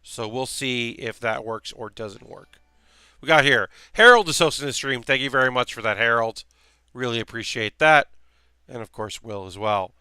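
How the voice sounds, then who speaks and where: A man hosting a relaxed live stream while building a model kit, rambling casually with lots of fillers, his voice slightly worn. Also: mid-range voice, moderate pace, smooth, dry recording, clean studio sound. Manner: rambling, chatty, many fillers and restarts.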